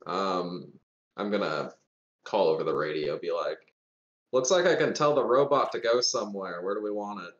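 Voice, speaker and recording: A man's voice: low voice, normal speed, echoey room, dull audio. A man chatting casually as a player in a tabletop game. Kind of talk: casual chat.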